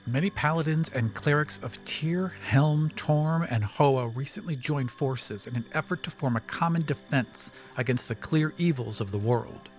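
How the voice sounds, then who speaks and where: A man narrating as game master in a calm, descriptive way. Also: fairly high pitch, normal speed, hardly any pauses, soft background music.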